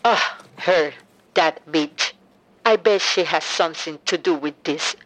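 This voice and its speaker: A woman's voice: sits low, a little slow, fairly bright tone. An actress playing a grieving, bitter mother on a phone call in an audio drama, speaking tersely and with suspicion. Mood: bitter, accusing. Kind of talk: full-cast audio drama acting.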